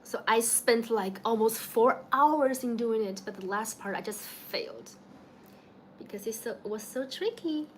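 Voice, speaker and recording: A woman's voice: on the high side, a little slow, a little hiss or hum. A young woman from China with a Chinese accent, chatting casually with viewers on a home livestream, laughing often and greeting people by name. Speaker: livestream host. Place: home in the evening.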